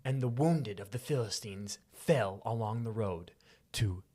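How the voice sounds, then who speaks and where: A man co-hosting a podcast, speaking in an expressive, informal way with a theatrical streak. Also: lowish voice, unhurried. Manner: slangy, expressive, theatrical when reading. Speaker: podcast co-host. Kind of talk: casual podcast chat with animated Bible reading.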